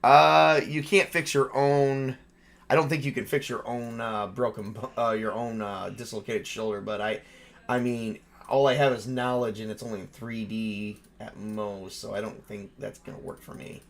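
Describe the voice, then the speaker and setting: A man's voice: fairly low pitch, flat delivery, moderate pace, gravelly. A man acting as game master, narrating and improvising a tabletop game in a casual, profane, informal way.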